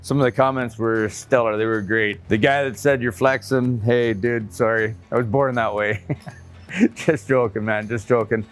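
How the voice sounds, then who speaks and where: A middle-aged trucker talks casually to his viewers, rambling through his asides and laughing often. His voice sits at an everyday pitch, with faint music playing underneath. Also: fairly even intonation, normal speed. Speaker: truck driver vlogger. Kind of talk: casual talk to camera.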